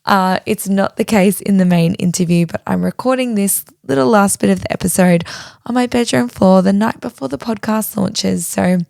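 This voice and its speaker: Aussie woman podcast host, voice all husky and crackly, gravelly, bubbly and excited, dry close sound. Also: medium pitch, normal speed, no room sound. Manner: bubbly, enthusiastic, laughs often. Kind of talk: casual chat and solo intro. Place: bedroom floor.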